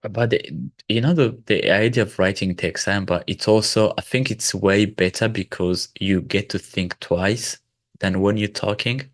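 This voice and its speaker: A man with the flu hosting a casual video call, relaxed and given to laughing and asking thoughtful questions. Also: on the low side, moderate pace, no room sound, studio quality. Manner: relaxed, laughs often, asks reflective questions. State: sick with flu. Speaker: host.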